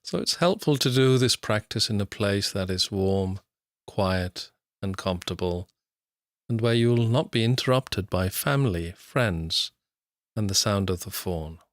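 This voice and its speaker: A man narrating a guided meditation as an instructor, in measured, unhurried short phrases with long pauses. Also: on the low side, no room sound, clean studio sound.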